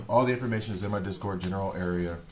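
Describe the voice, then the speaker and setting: A man's voice: low-pitched, fast talker, a little hiss or hum. A man streaming a game, chatting casually with viewers in a rambling, talkative way and reading chat aloud. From Switzerland. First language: not German. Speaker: gaming streamer.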